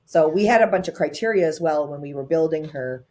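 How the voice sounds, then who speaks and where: A woman guest, a tech advisor, telling her story in a lively conversational way, often quickening her pace, with fillers like "um" and "uh" between phrases. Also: low voice. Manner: animated, quick bursts with frequent fillers.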